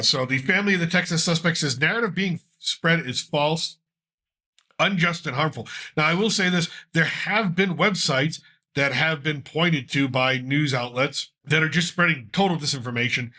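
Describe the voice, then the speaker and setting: A congested man hosts a casual talk show, his voice bright and a little raised in pitch. He rambles loosely through the news, pausing with frequent fillers as he goes. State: congested from a cold. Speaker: podcast host.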